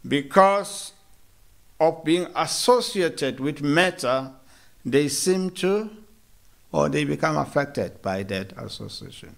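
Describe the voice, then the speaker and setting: A man from South Africa giving a morning sermon in a temple, slow and measured, with hesitant restarts and gentle humor. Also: on the high side, expressive, creaky. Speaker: monk giving a morning lecture. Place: temple hall.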